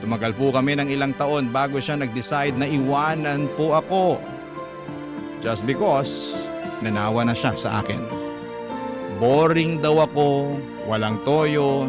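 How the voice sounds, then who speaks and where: A man's voice: medium pitch, a little slow. A man reading a listener's letter as a radio story host, in dramatic scripted narration. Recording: music clearly behind the voice. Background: instrumental music.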